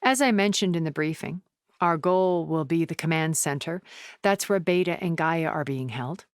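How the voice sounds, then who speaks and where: Casting: actress playing a cultured, calculating immortal woman for a video game, low register, articulate and wistful, very dry studio sound. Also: smooth, no echo, natural voice. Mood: composed, businesslike. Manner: refined, measured, articulate, formal phrasing. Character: wealthy, cunning, ancient female art connoisseur, refined and wistful. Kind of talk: video game character dialogue.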